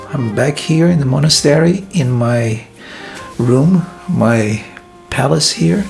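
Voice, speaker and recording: Man for a reflective vlog, gravelly, unhurried delivery, soft music underneath. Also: mid-range voice. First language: Portuguese. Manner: thoughtful, hesitant, many pauses and fillers. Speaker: filmmaker and vlog host. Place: his room in a monastery.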